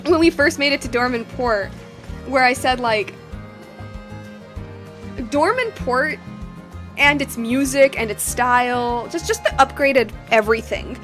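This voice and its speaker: Girl streaming a game, kinda high voice, rambly and excitable, casual commentary, game music in the bg. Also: measured pace, long pauses. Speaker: gaming streamer.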